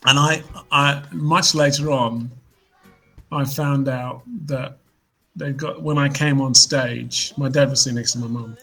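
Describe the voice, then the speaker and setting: A man in his fifties, a musician guest, reflecting on his life in relaxed, hesitant interview talk. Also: mid-range voice, unhurried, husky, faint music behind, rough recording. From United Kingdom. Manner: reflective storyteller, hesitant restarts. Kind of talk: casual interview chat.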